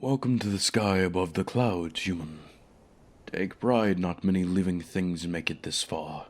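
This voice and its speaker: A man's voice: low voice, unhurried. A man voicing a haughty, commanding dragon in a fantasy ASMR roleplay, speaking formally with pompous condescension. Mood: haughty, amused. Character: haughty male dragon, commanding keeper of a human pet.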